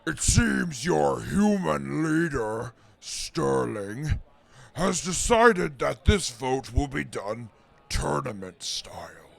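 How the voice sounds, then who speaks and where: A pompous non-human correspondent for a post-apocalyptic radio drama. The voice is rough with heavy creak and swings widely in pitch. Each phrase is slow, formal and condescending. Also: male voice, expressive, a bit bright, heavily acted. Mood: formal, proud. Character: haughty non-human field reporter scornful of weak humans. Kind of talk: mock news report in post-apocalyptic audio drama.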